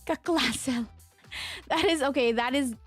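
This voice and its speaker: A young woman from the Philippines talks casually on a desk mic, rambling with fillers and frequent laughs as she answers her viewers. A lingering sore throat keeps her voice low. Faint music plays underneath. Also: a little slow. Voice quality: mezzo-soprano singing voice. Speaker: streamer. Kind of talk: casual chat with chat readers.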